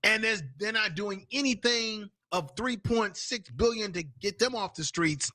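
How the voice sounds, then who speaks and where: A man's voice: high voice, a little slow, sharp tone, dry recording. A man hosting a live stream, giving a heated, profane political rant. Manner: profane, angry, repetitive ranting.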